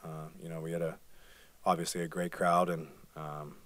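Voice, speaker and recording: Postgame player, thirties, soft-spoken with fillers, dry close table mic. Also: male voice, deep voice, little pitch movement, normal speed, no echo. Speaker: basketball player. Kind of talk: postgame press conference answers. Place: press conference room. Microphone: table microphone.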